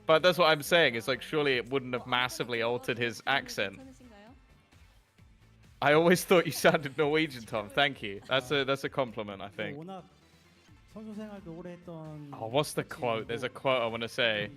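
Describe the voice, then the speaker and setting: A man with a bright, forceful voice and a mid pitch chats loosely on a stream, rambling and swearing freely. Faint music sits behind him. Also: animated, moderate pace, long pauses. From South Africa. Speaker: streamer hosting a watch party. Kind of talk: casual chat.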